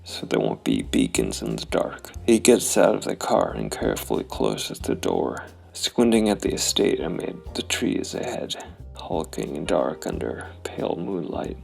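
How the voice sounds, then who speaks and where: A man with an extremely low voice narrates a solo noir tabletop game. His delivery is deliberate and full of heavy gravelly fry, with lively movement in pitch as he tells the story. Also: faint music behind. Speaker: host and solo role-player.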